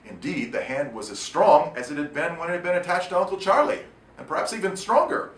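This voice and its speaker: A man reading his own short story aloud in an expressive, wry and deliberate storytelling manner. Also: fairly high pitch. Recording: echoey room, distant mic, faint noise.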